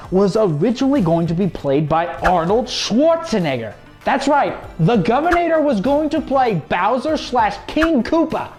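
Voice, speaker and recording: Very animated man narrating over music. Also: high-pitched. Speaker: co-host. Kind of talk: scripted video narration and commentary. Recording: music behind the voice.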